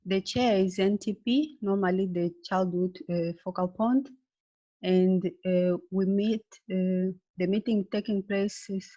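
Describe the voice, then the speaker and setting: A woman's voice: mid-range voice, fairly even intonation, mellow. Woman webinar presenter, hesitant English, dull call audio. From Mozambique. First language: Portuguese. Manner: halting, searching for words with many fillers.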